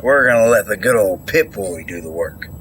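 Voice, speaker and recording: An actor playing a kindly older country doctor with a folksy manner in an audio drama. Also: male voice, on the low side, lively intonation, normal speed, very noisy, lightly acted. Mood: soothing. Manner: folksy, drawling endearments.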